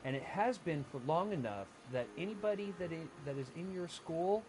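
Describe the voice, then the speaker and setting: A man's voice: animated, moderate pace. A middle-aged man chatting casually in a blunt, matter-of-fact way, as a father on a family game stream. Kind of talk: casual chat. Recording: loud rumbling background noise.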